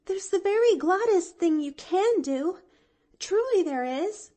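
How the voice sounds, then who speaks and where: A woman's voice: extremely high pitch, lively intonation, unhurried, warm tone, pitched above the performer's own voice. A woman doing an eager stammering little girl. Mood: pleading eager. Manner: stammering, breathless and pleading. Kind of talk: audiobook character voice.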